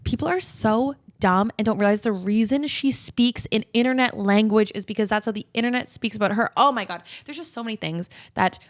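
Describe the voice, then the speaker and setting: A woman's voice: fairly high pitch, fairly quick, hardly any pauses, no room sound, clean studio sound. A woman co-hosting a podcast, chatting casually in an emphatic, opinionated and slangy way. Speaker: podcast host.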